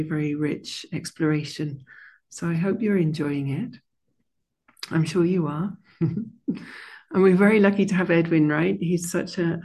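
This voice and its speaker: A soft-spoken woman leading a meditation and then chatting with her online class as their teacher, slow and gentle at first, then warm and full of fillers. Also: deep voice. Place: monastery room.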